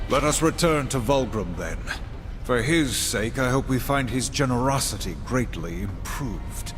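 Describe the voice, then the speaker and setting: A man performs a humorless horseman in a game cutscene. The delivery is formal, stern and dutiful in a middling male pitch. A long reverb surrounds it and music plays clearly behind. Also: lively intonation, a little slow, close to an everyday voice. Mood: grave. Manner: formal, grave. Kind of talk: video game cutscene acting.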